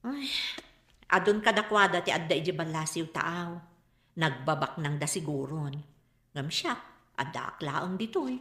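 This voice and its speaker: A woman's voice: deep voice, animated, unhurried, fairly bright tone, exaggerated voice. An actress playing a proud, stubborn mother with plaintive, resistant delivery in a radio drama. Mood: wistful. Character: proud, stubborn mother, regretful about unfinished schooling. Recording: roomy, echoing drama recording.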